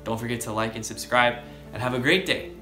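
A young man hosting a children's story time, reading aloud in a deliberate manner and speaking directly to young listeners. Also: mid-range voice, sharp tone. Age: young adult. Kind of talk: read-aloud storytelling for preschoolers. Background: background music.

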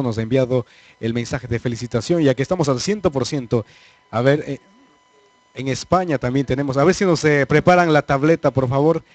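A man's voice: medium pitch, darkish tone, no room sound. A man hosts a live TV variety show, speaking into a handheld microphone in a small studio. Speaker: TV host.

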